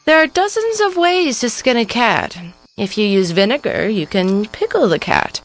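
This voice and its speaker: A woman's voice: on the high side, sing-song, normal speed, faint music behind. A woman playing an addled, babbling psychic mother in an audio drama, rambling cryptically in a theatrical way. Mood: loony, cryptic. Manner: rambling non sequiturs, theatrical delivery. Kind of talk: stage-style audio drama acting.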